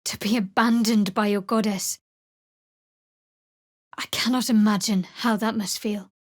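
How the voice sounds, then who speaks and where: An actress playing a guarded, sardonic young cleric of a dark goddess in a video game, with a dry, wry delivery. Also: female voice, mid-range voice, steady tone, measured pace, no echo, natural voice. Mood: soft, sincere. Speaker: game character.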